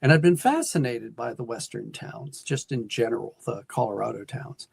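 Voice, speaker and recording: A middle-aged American man giving thoughtful, explanatory answers as a guest in an interview, pausing and restarting as he goes. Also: medium pitch, expressive, gravelly, a little mellow, husky, no echo. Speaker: guest, audio drama writer and producer.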